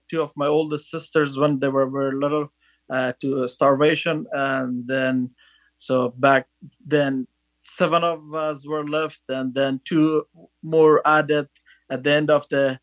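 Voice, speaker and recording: A man talks through his past in a halting, filler-heavy way. His voice sits a little high for a man. The sound is dry and close, with no room echo. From Afghanistan. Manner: halting, many fillers and restarts. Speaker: podcast guest. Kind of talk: casual interview storytelling.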